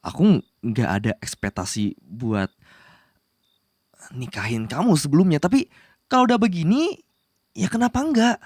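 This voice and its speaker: A woman's voice: low-pitched, sing-song, moderate pace, pauses often, darkish tone, slightly rough, no room sound, clean studio sound, full character voice. A woman playing a possessive, lovestruck young male demon prince, bossy yet flustered, in a romantic roleplay spoken to the listener. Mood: earnest, coaxing. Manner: insistent and flustered, pleading between commands. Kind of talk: romantic ASMR roleplay acting addressed to the listener.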